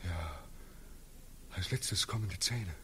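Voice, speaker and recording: A man's voice: deep voice, takes it slowly, slight background noise, exaggerated voice. An actor playing a tormented returning soldier in a 1940s radio drama, theatrical and obsessive as he recounts a nightmare. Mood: feverish, insistent. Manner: theatrical, obsessive, swinging from hushed murmurs to frenzied cries. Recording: muffled, husky 1940s radio recording.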